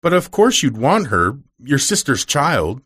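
A young kitchen maid, eager to please and quick to stammer, as a male narrator puts her on. Her voice swings up lightly and brightly, and it stays dry and close to the mic. This is a storybook reading. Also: mid-range voice, big pitch swings, husky, no room sound, voice pushed up, exaggerated voice. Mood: timid. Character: young servant girl, cheerful but timid.

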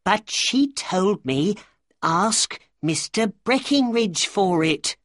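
A narrator voicing a weak, nervous little man in an audiobook story, plaintive and easily excited. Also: mid-range voice, slow pace, no room sound, studio quality, voice pushed up. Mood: pleading, upset. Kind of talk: audiobook character dialogue.

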